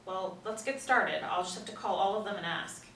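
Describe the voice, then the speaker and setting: An actress reads an impatient, irritated woman in a TV drama script. The voice is medium-pitched and blunt, heard roomy and away from the mic over faint noise. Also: fairly quick, fairly bright tone, close to an everyday voice. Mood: exasperated. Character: impatient, exasperated woman drawn into a mystery. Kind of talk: table-read drama. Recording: echoey room, distant mic, faint noise.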